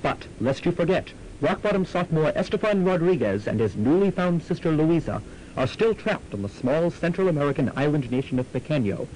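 A man's voice: a little high, darkish tone, natural voice, poor audio. Man as a mock-grave radio serial announcer. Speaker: narrator. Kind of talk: mock-serious serial radio narration and credits. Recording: amateur student radio, faint background noise.

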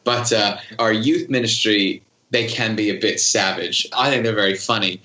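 A man's voice: on the low side, normal speed, pushed and bright, reverberant. A man guesting on a podcast interview, talking casually with many fillers and easy laughter about leading worship.

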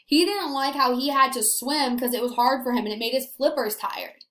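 A young woman leading a lesson for small children. Her voice is high, with an animated, encouraging delivery and strong emphasis. The small room adds a clear echo. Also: normal speed. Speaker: teacher.

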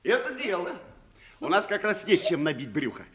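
A man's voice: high-pitched, very animated, moderate pace, noisy background. A man playing a good-humoured, protective vagabond with a folksy, mock-grand manner in a 1960s radio play. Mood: jovial.

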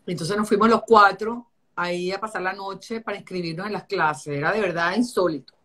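A middle-aged woman from Venezuela with a Caracas accent, telling stories in an animated, chatty way with many restarts, recorded over a video call from home. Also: mid-range voice, fairly quick. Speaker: architect interviewee. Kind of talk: casual interview. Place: home room.

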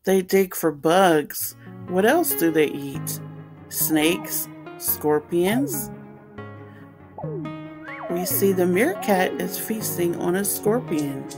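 A woman reading a children's picture book aloud as a storytime host, explaining things simply and deliberately for young listeners. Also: on the low side, expressive, music in the background.

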